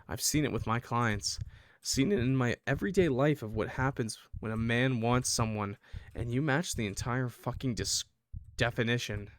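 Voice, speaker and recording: A man's voice: medium pitch, no room sound, natural voice. A man playing a defiant captive in a visual novel, taunting an attacker with sarcasm. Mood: taunting challenge.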